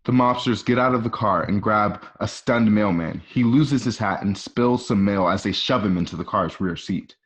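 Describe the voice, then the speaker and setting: Guy with lowish voice reading screenplay directions, radio play narrator. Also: normal speed, hardly any pauses.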